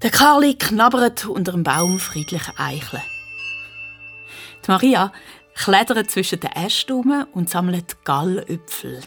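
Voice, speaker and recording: A female narrator with a Swiss German accent tells a story for children. Her voice sits at a medium pitch and her delivery is vivid and conversational. Music plays clearly behind her.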